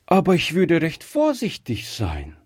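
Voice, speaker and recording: Casting: eager, innocent little storybook girl played by a man, lifted high pitch, lively swings, inquisitive and earnest. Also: a little slow, slightly rough, dry recording, studio quality, heavily acted. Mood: pleading. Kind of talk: acted storybook dialogue.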